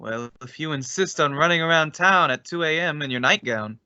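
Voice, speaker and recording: An affable, wry fellow played by a man in a radio suspense play. His voice is fairly high and bright, with a breezy delivery on a dry, slightly dull recording. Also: brisk, clear, resonant tone, no echo. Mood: wry, friendly. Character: affable, joking man, old hometown acquaintance. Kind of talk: radio suspense drama dialogue.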